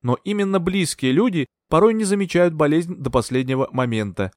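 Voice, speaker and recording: A man playing a calm, cold doctor. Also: fairly high pitch, fairly quick, no room sound, clean studio sound, pitched above the performer's own voice, lightly acted. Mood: cool. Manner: calm, smooth, condescending. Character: calm, cold-mannered male doctor, sly.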